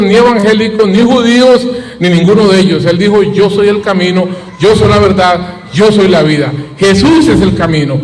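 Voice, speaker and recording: A man in his late fifties with a Costa Rican accent, giving testimony and preaching to an audience. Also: high voice. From Costa Rica. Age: late 50s. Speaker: evangelical preacher. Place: large hall with an audience. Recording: echoing hall.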